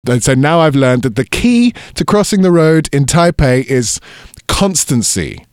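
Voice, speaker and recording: A man's voice: fairly high pitch, big pitch swings, measured pace, clear, resonant tone, dry recording, clean studio sound. A man from London, interviewed, speaking in a wry, self-deprecating way. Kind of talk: casual interview.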